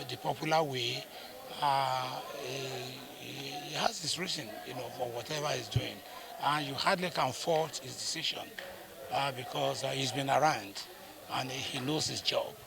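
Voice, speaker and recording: Gravelly Nigerian man in a reflective interview. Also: medium pitch, a crowd in the background. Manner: deliberate, halting, frequent pauses and repeated phrases. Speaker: stage actor being interviewed.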